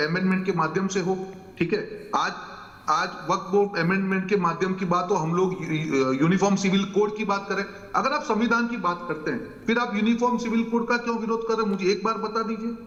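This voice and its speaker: A man's voice: sits high, fairly bright tone, echoey room, big-room sound, dull audio. A man arguing his point as a debate panelist, firing rhetorical questions. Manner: rapid, insistent, rhetorical questions. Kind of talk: political argument.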